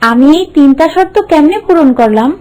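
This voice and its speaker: A woman's voice: sits high, expressive, fairly quick, warm tone, muffled recording. A woman voicing a humble, puzzled young servant girl in a cartoon, with a colloquial Bangladeshi accent and plain questioning speech. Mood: puzzled, curious. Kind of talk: scripted cartoon dialogue. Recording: music bed under the voice.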